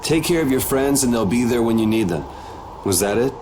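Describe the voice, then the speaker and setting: Male actor, cool young game hero, dry and casual, mid-pitched, clear ambient noise behind. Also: expressive, brisk, natural voice. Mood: calm, decisive. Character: cool, laid-back young male swordsman leader. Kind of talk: English video game dub acting.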